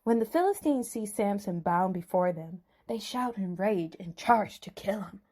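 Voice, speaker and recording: A woman's voice: mid-range voice, expressive, normal speed, warm tone. A woman telling a Bible story in a theatrical, suspenseful narrating style.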